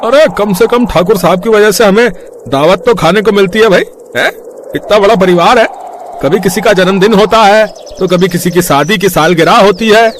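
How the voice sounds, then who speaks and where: A man acts out a chatty village gossip in a moral tale. His voice sits a little high, over clearly noisy outdoor ambience with birds. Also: moderate pace, lightly acted. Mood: impressed gossip. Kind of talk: acted story dialogue.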